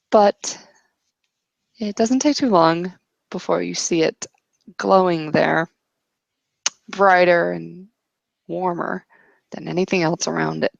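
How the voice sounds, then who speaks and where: Woman, game master, poetic improvised narration, hesitant, slightly low creaky voice, dry sound. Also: fairly even intonation, slow, long pauses, no echo, lo-fi sound. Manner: poetic, hesitant, searching for descriptions. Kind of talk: improvised tabletop roleplay narration.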